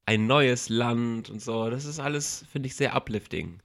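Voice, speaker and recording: A man's voice: medium pitch, a little slow, gravelly, fairly bright tone. A young man co-hosting a casual podcast, playfully teasing his co-host. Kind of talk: casual chat.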